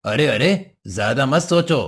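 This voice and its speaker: A man's voice: mid-range voice, lively intonation, measured pace, fairly bright tone. A man voicing a sly devil in a cartoon story, coaxing and falsely friendly. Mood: prying, sly. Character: sly hoofed male devil posing as generous employer. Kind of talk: Hindi cartoon story voice acting.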